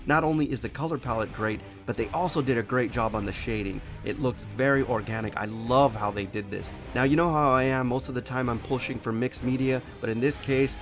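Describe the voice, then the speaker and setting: A man narrates a collectible statue review while sick, his voice sitting deeper than usual. He talks at a middling pitch, piling on eager praise. Music plays clearly beneath him. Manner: effusive, enthusiastic praise. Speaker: collectible toy reviewer, show host. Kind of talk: product review voice-over. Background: background music.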